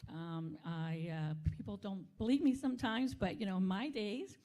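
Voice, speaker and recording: A middle-aged woman, a vice mayor, giving hesitant remarks at a community event with many pauses and fillers. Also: mid-range voice, warm tone.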